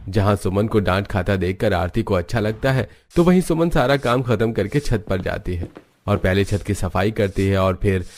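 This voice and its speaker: A man's voice: on the low side, expressive, normal speed, darkish tone. A man narrating a scripted cartoon moral story.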